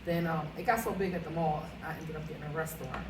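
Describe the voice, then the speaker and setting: A woman's voice: sits low, fairly even intonation, fast talker, distant mic. Chatty woman recorded echoey and off-mic. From Philadelphia. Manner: chatty, rambling, with many fillers. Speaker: caterer and realtor, interview guest. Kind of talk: casual interview conversation. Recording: echoey room, off-mic, noisy.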